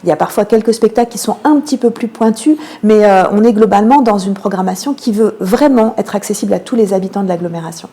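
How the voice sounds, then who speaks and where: A woman with a dark, relaxed voice at a middle pitch answers as a radio interview guest. She explains her work in detail. Her phrases are often broken by long, searching fillers. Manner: explanatory, frequent hesitations and drawn-out fillers. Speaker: theatre programming manager, interview guest.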